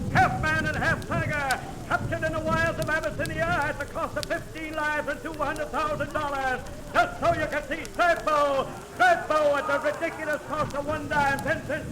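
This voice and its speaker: A man's voice: very high voice, fairly even intonation, normal speed, few pauses, background noise. A man playing an American sideshow barker, declaiming repetitive sales patter in a 1930s radio show. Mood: hyping. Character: loud-hyping sideshow barker hawking to the crowd. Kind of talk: 1930s radio carnival spiel. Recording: vintage 1930s radio recording.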